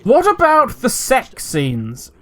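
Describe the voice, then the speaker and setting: A man's voice: very high-pitched, takes it slowly, faint music behind. A man hosting his gaming channel, chatting casually and reading a news article aloud with self-mocking asides. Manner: animated, self-mocking, jumps between loud asides and quiet mutters.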